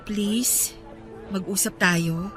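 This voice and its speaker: A woman's voice: mid-range voice, fairly even intonation, extremely slow, over music. A woman playing a chatty, protective younger sister who meddles, in a Filipino radio romance drama. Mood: anxious intervening.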